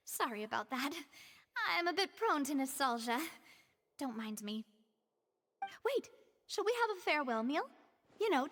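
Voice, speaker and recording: A woman's voice: very high-pitched, a bit bright, in a large hall. A woman playing a friendly, genteel young female boss in an anime video game, with polite, flowery and slightly wistful phrasing. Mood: cheerful.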